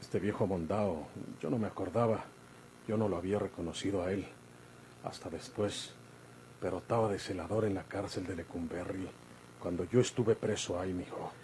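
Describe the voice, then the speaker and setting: A man's voice: sits low, steady tone, normal speed, mellow, natural voice. An actor playing an older, rustic Mexican outlaw father, folksy and evasive, in an old radio drama. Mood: hushed, confiding. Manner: folksy, rural colloquial speech. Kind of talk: golden-age Mexican radio drama acting. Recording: noisy vintage radio recording.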